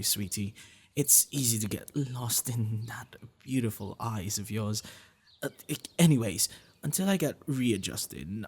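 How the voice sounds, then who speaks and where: A man plays an affectionate dragon boyfriend for an ASMR roleplay, low and gravelly. He is bashful and protective, doting softly with hesitant pauses, and he takes his time while his pitch moves around freely. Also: exaggerated voice. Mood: bashful, apologetic.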